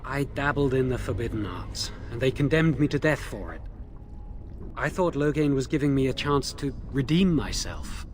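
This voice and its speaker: A man's voice: mid-range voice, lively intonation, normal speed, close to an everyday voice. An actor playing a nervous, remorseful young male mage held in a dungeon, in a video game. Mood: confessing. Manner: anxious, remorseful, pleading.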